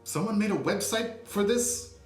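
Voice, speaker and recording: Man playing a sketch boss, brisk and incredulous, roomy echo, faint music under him. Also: high voice. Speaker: agency boss in a comedy sketch. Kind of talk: sketch comedy acting. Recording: echoey home recording with music.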